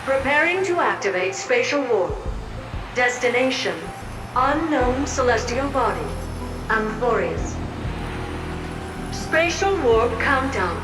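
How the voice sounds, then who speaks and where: A woman's voice: on the high side, very animated, slow, pauses often. An actress voicing a polite, dutiful female magic mirror servant in a video game, formal and deferential. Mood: formal, composed. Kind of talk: video game cutscene acting. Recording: echoey, distant-sounding voice. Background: loud music.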